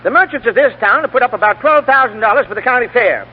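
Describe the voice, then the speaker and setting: A man acting a brusque, dismissive small-town board chairman in a 1940s radio drama, blunt and folksy, with a husky voice. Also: very high voice, animated, fast, heavily acted. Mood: incredulous, dismissive. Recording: echoey, phone-like 1940s radio recording.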